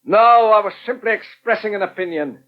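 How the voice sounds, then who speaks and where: A man's voice: high voice, fairly quick, close to an everyday voice. An actor playing a grumpy, sarcastic, impatient British man in a 1980 radio soap. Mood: irritated, sarcastic. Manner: grumbling, sarcastic. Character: grumpy, sarcastic male wheelchair user, dependent and impatient. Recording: dull 1980 radio recording.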